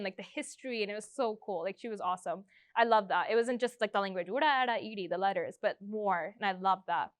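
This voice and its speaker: A woman's voice: medium pitch, a bit bright, no echo, studio quality. A woman in her twenties from Canada, whose first language is Punjabi, talking chattily in an oral history interview with many fillers and restarts. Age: 20s. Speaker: interviewee, schoolteacher.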